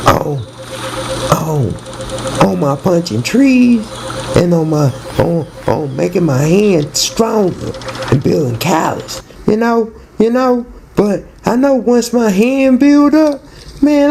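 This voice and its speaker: A man's voice: high-pitched, vocal fry, background noise. A man giving a casual, rambling spoken monologue in slang, often repeating words and restarting phrases. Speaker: narrator and storyteller.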